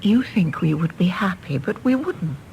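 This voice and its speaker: An actress playing a weary, disillusioned mother in a radio drama, measured and emotive. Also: lowish voice, animated, vocal fry, a little mellow, lo-fi sound, slight background noise. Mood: sad, resigned.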